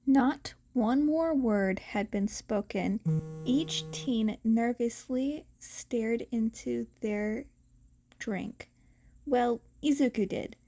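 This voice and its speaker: A woman with a very high voice narrates a story in an expressive audiobook style. She takes her time, reading at a slow pace. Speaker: podfic reader.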